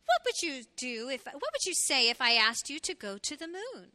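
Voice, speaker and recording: A gentle mother hedgehog from a children's storybook, voiced by a woman, tenderly putting questions to her little one. She speaks softly and evenly, in a high feminine register with a close, dry sound. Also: very animated, no room sound, heavily acted. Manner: soft, tender, measured questioning. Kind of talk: children's storybook read aloud.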